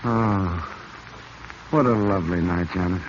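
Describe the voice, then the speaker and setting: An actor playing a polite, earnest young archaeologist and devoted suitor in a 1940s radio drama. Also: male voice, low voice, measured pace. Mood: tender, pleading. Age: young adult. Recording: muffled, hissy vintage radio recording.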